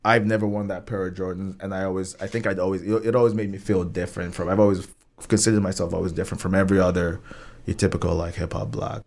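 Laid-back rapper from Nigeria, low voice. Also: male voice, flat delivery, quick pace, darkish tone. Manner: laid-back, rambling, heavy on fillers. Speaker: guest rapper. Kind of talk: casual podcast conversation.

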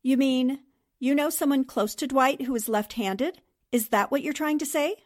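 An actress as a high-voiced, sharp newspaperwoman. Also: moderate pace, natural voice. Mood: observant, probing. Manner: probing, precise, questioning. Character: sharp, observant young female newspaper reporter, inquisitive. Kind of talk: 1940s radio drama acting.

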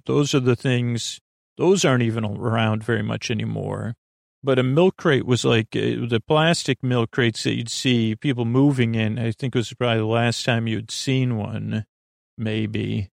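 A man with a gravelly, creaky voice talks as a podcast host in a loose bedtime monologue. His pitch sits in the middle of the male range, and the sound is close and dry. He meanders, stops short and restarts as he wanders into side thoughts. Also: dry recording. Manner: meandering, halting, self-interrupting with trailing tangents. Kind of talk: rambling, informal bedtime monologue.

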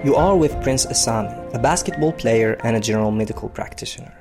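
A man from the Democratic Republic of Congo, in his thirties, tells his own story. His voice sits in a middle register. He talks conversationally and often pauses on fillers. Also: medium pitch, over loud music. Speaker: interviewee, basketball player. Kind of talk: personal storytelling.

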